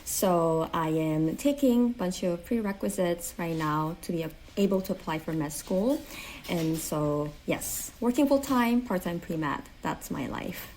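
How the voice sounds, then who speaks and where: A woman's voice: fairly low pitch, a little slow, darkish tone, a little hiss or hum, poor audio. A woman in her thirties from South Korea with a Korean accent, chatting earnestly as an interview guest, with many "like" fillers. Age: 30s. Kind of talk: casual interview chat.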